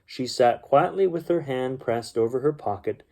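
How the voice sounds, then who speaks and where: A man hosting a children's bedtime story, reading aloud and chatting casually to young listeners in a gentle, homely way. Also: medium pitch, moderate pace, vocal fry.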